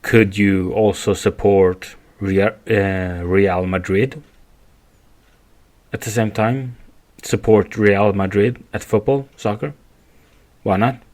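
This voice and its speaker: A Swedish man hosts a solo podcast in accented English. His voice sits on the low side. He speaks very slowly, feeling his way through an argument with repeats and rephrasing. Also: a little mellow. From Sweden. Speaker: podcast host. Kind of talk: rambling solo podcast monologue.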